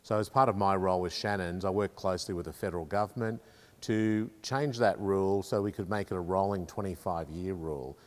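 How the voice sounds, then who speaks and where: A man's voice: low voice, brisk. An Australian man in his fifties explaining something to camera as a company representative. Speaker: car insurance company representative and enthusiast. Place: large indoor car storage garage. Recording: echoey hall-like reverb.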